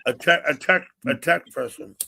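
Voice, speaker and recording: A man in his sixties hosts a loose livestream chat, his voice sitting a little high for a man. He rambles, circling back and repeating his words as he goes. He talks into a computer mic on the stream call. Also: moderate pace, creaky, pushed and bright, a little hiss or hum. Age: 60s. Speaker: livestream host.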